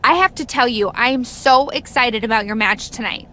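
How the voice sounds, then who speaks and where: A woman's voice: on the high side, normal speed, bright, forceful tone. A woman playing an upbeat wrestling-show boss in a scripted video game cutscene, with faint noise behind her.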